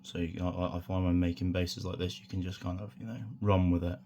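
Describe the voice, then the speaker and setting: A man with a croaky voice from a sore throat, talking through a hobby tutorial in a relaxed, rambling way with many fillers. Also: deep voice, fairly quick, slight background noise. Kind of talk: casual hands-on tutorial.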